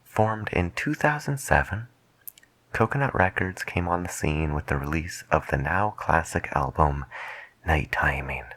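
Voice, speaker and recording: A man playing a musing late-night radio DJ, soft-spoken and deliberate in an ASMR style. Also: extremely low pitch, animated, dry recording, full character voice. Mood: musing, hushed. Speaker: radio host.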